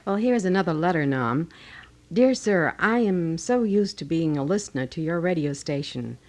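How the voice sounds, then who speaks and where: A woman's voice: lowish voice, expressive, moderate pace, a little mellow. A woman co-hosting a radio show, reading listener letters aloud, on a 1980 local broadcast. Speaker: radio co-host. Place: radio studio.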